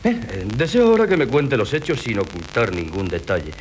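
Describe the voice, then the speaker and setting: A man's voice: medium pitch, huge pitch swings, brisk. An actor playing a pompous, methodical doctor in a 1970s radio comedy, officious and muttering as he questions. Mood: self-assured, clinical. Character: pompous, methodical male doctor taking a case history. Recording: noisy old 1970s radio recording.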